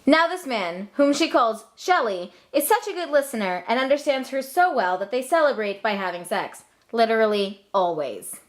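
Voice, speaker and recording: A woman's voice: on the high side, expressive, moderate pace, few pauses. A young woman narrating a comic scripted story in a flippant, casual manner.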